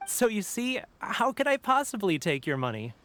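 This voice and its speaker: A lively, swooping male voice for an obliging game stall keeper. He is cheerful and deferential, and there is faint noise behind him. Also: sits high, moderate pace. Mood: cheerful, welcoming. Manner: chirpy, deferential, eager to please. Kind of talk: video game voice acting.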